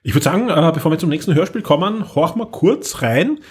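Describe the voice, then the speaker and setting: A man's voice: on the high side, expressive, a little fast, darkish tone, slightly rough. A man with an Austrian accent, hosting a podcast in a casual, chatty style. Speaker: podcast host. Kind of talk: casual moderated chat.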